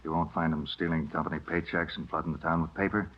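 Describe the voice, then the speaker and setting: A gruff American police captain, deep-voiced and very quick. He is brusque and demanding, in old radio drama style. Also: flat delivery. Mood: grim, emphatic. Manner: brusque, emphatic. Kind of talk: 1950s radio crime drama acting. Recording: dull old radio recording.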